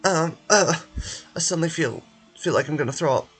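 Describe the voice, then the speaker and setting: A man's voice: fairly high pitch, a little fast, a bit bright, breathy edge, faint music behind, pitched above the performer's own voice. A man voicing a skeptical, aggrieved reporter character in a game, with a strained, complaining manner. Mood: queasy grumbling.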